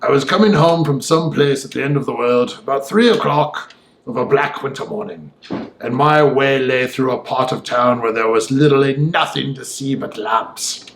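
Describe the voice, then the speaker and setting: A man reading as a gentleman who recounts a disturbing tale in vivid, old-fashioned, emphatic speech. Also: medium pitch, normal speed, gravelly, roomy sound, slight background noise, rough recording. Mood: musing. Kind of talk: audiobook dialogue monologue.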